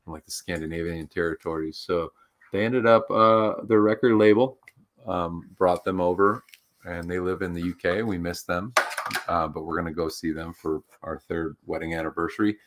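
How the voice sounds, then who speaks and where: A man's voice: deep voice, fairly even intonation, normal speed, slight background noise. A man co-hosting a livestream talk show, chatting casually and rambling with lots of fillers. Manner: rambling, filler-heavy, upbeat. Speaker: co-host.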